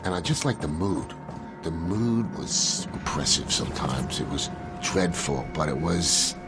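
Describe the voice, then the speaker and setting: A man speaking casually and haltingly as he reflects in an unscripted interview clip. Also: very deep voice, animated. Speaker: video game director. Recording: archive clip under prominent music. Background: prominent music.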